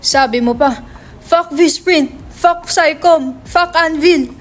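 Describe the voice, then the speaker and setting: A woman's voice: sits high, slow pace. A woman playing a brash, foul-mouthed young male writer in a dramatic script reading, slangy and insistent. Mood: angry, insistent.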